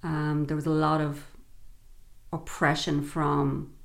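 This is a middle-aged woman from Dublin's north side with a clear Dublin Irish accent. Her voice sits low and has a heavy gravelly fry running through it. She talks through her memories at an easy, reflective pace, pausing on fillers. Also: completely flat delivery. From Ireland, Dublin north side. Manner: reflective, frequent fillers, laughs while telling stories. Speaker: guest. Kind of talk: conversational interview storytelling.

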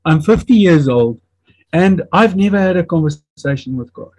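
Man, 50s, Afrikaans accent, English as second language, earnest testimony, halting restarts, slightly high voice, dry close sound. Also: animated, a little mellow, husky, dry recording, poor audio. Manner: halting, searching for words, frequent restarts; earnest and reflective. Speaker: interview guest. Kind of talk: recounting a personal testimony.

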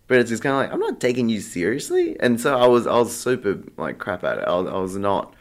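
An Australian man chatting casually as an interview guest, telling stories in a rambling, halting way full of filler "like". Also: fairly low pitch, wildly swooping pitch. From Australia, Sydney. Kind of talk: casual anecdotal chat.

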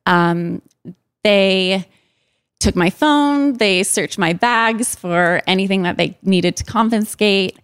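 A woman being interviewed about her own life, speaking candidly and hesitantly, with frequent pauses and ums. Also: mid-range voice, smooth, dry recording, clean studio sound. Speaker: interview guest.

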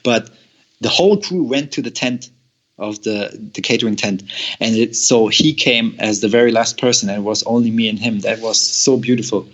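A young man from Germany, a guest telling a long personal story with halting, repeated words and frequent laughs. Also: on the low side, dull audio. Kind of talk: storytelling in an interview.

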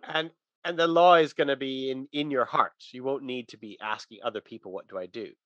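A man's voice: mid-range voice, fairly quick, a bit bright, no echo, lo-fi sound. A man talking through religious ideas in a casual, conversational but emphatic teaching manner.

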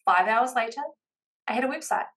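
A woman's voice: a little high, brisk, fairly bright tone. A woman with a New Zealand accent, a confidence coach chatting as a podcast guest in an upbeat, encouraging way. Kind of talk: casual podcast interview.

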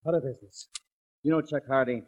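An actor playing a pained, grumbling insurance company boss in a 1940s radio drama. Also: male voice, mid-range voice, steady tone, fast talker, darkish tone, breathy edge, muffled recording, natural voice. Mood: weary, grumbling.

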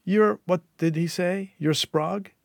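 A man's voice: high voice, a little slow, gravelly, dry recording, clean studio sound, pitched above the performer's own voice. A man voicing an earnest, distressed woman nurse in an audiobook, urgent and pleading as she stands her ground.

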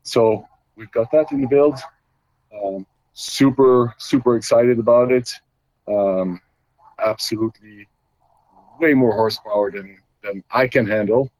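A man's voice: mid-range voice, unhurried, long pauses, a little mellow, no echo, poor audio. A middle-aged man from Belgium, a guest explaining his custom Jeep builds in casual talk, with many fillers and restarts.

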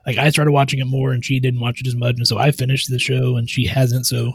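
A man co-hosting a casual podcast, with a husky edge to his mid-pitched voice. He talks in a relaxed, friendly way, and he also reads out the show's promotions. The sound is dry and close to the mic. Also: quick pace, no echo. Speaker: podcast co-host. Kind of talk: casual chat.

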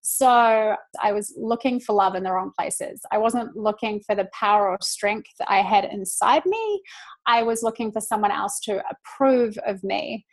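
A woman's voice: mid-range voice, measured pace. A woman in her thirties from New Zealand, with a New Zealand accent, talking reflectively and chattily as a podcast guest, with many fillers. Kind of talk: casual podcast conversation.

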